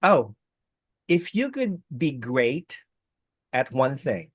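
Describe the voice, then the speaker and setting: A man hosting an interview over a video call. He rambles in a friendly, joking way and often repeats his words. His voice is mid-pitched and dry, with no room sound. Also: big pitch swings, slow, slightly rough, dry recording. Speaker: interviewer, host. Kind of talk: casual interview chat.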